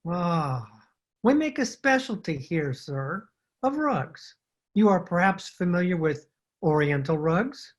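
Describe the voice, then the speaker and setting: A slight, servile rug seller acted by a woman in an old-time radio drama. His voice is husky and rather low for a female performer. He speaks with ornate courtesy, always humbly steering the customer along. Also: very animated, slow, full character voice. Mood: ingratiating. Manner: formal, humble, ingratiating salesman patter. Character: thin, obsequious male Middle Eastern rug merchant.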